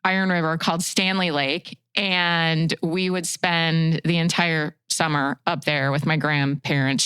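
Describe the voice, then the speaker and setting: An American woman in her sixties with a slightly low, exceptionally clean and resonant voice. She talks in a reflective, heartfelt way as a podcast guest, and the recording is dry with no room sound. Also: fairly bright tone, clean tone, no echo. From Chicago suburbs, USA. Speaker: podcast guest, fishing guide and outdoor writer. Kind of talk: reflective interview talk.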